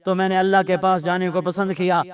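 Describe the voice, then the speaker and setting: A man's voice: sits high, flat delivery. A man with a Pakistani accent preaching an Islamic sermon with Arabic recitation, emphatic and repeating phrases for effect. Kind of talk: impassioned Urdu religious sermon with Arabic recitation.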